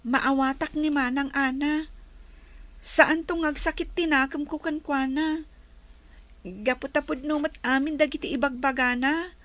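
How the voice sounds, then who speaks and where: A woman's voice: high-pitched, a bit bright, no echo. An actress playing a meek, kind young orphaned woman in a radio drama, polite, hesitant and plaintive. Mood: polite, gentle.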